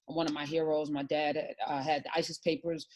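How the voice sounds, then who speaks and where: A woman's voice: low voice, little pitch movement, fairly quick, darkish tone. A woman hosting a talk show, speaking with emphatic, passionate conviction in casual political discussion.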